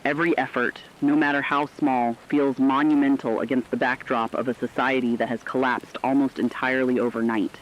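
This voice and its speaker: A serious radio reporter voiced by a man at an average pitch. He reads a fictional catastrophe bulletin in measured, scripted narration. The sound is dull and lo-fi, with rain behind it. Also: level tone, a little hiss or hum, close to an everyday voice.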